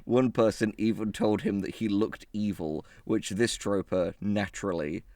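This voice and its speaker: A man's voice: fairly low pitch, steady tone, normal speed, a little mellow, dry recording, studio quality. A man co-hosting a podcast with casual comedic banter and reading aloud, joking and irreverent. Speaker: podcast co-host.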